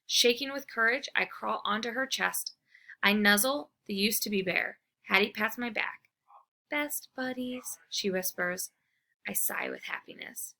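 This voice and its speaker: Female reader for kids' story, bright tone, creaky edges, expressive. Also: mid-range voice, moderate pace. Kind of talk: children's read-aloud narration.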